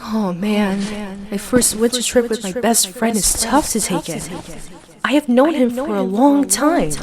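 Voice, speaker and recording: A young woman acting out a playful, excitable best friend with a secret crush in an ASMR roleplay audio, speaking in a chatty, expressive way. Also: mid-range voice, close to an everyday voice. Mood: wistful, nervous.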